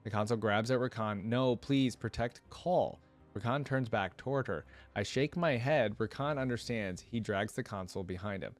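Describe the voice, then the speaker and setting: Male reaction host, lowish voice, reads narrative prose aloud with informal, slangy commentary. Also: normal speed, hardly any pauses, faint music behind. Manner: casual, informal asides with slang. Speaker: reaction video host reading a story aloud.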